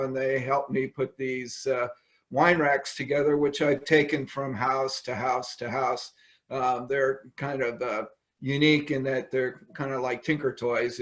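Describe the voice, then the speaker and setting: An older man from California, a winemaker talking casually over a video call from his home wine cellar, rambling with many ums and uhs. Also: mid-range voice.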